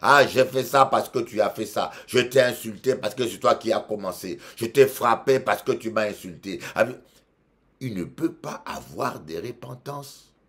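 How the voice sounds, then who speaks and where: A Congolese-born bishop preaching in French with a Congolese accent, emphatic, repeating phrases and shifting between loud exhortation and quiet asides. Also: male voice, fairly low pitch, moderate pace. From Democratic Republic of the Congo. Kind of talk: preaching sermon.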